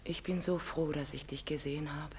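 An actress playing a proud, sorrowful middle-aged foreign mother in a stage drama, speaking in a solemn, measured and emphatic theatrical manner. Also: low voice, steady tone, a little mellow, a little hiss or hum. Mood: wistful, tender. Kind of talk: German stage-drama acting. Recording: long reverberant hall sound.

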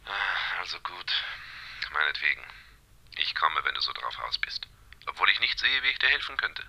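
A man's voice: deep voice, big pitch swings, moderate pace, pushed and bright, slight background noise, exaggerated voice, rough recording. An actor playing an irritable, dismissive man in a radio drama, grumbling brusquely through a telephone effect. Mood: grudging. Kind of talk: German radio play acting.

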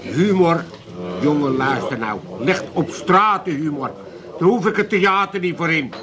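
A man's voice: fairly high pitch, animated, measured pace, very noisy. An actor playing a cheeky, contrary old father in a comic radio play, drawling and teasing. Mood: wry teasing. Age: older.

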